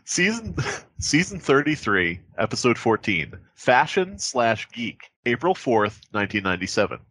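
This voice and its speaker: A man's voice: mid-range voice, sing-song, moderate pace, sharp tone. A man hosting a podcast in casual, joking, profane comedic chat. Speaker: podcast host.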